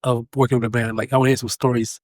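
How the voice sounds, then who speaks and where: A man with a mid-pitched voice in a dry, close recording. He hosts casually, tossing out informal questions and brief acknowledgements to keep the conversation going. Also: little pitch movement, very fast, no echo. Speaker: podcast host, interviewer. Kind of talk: casual interview chat.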